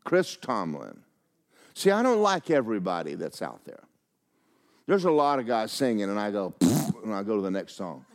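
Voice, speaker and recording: Older pastor, folksy storyteller, lively swooping delivery with voiced-out quotes. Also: male voice, fairly low pitch, moderate pace. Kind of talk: sermon with personal stories.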